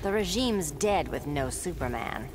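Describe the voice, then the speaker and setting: Game antiheroine: low, lively, sardonic cat burglar, tough and taunting, over loud game ambience. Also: female voice, a little slow, very noisy. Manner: wry, taunting.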